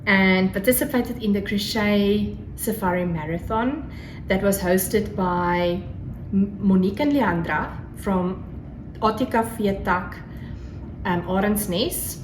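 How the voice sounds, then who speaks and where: Casting: South African woman, Afrikaans first language, gushing craft vlogger, leisurely delivery, medium pitch, faint fire noise behind. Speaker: craft podcast host. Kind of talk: casual solo vlog chat. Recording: roomy echo, faint background noise. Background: crackling fire, faint noise.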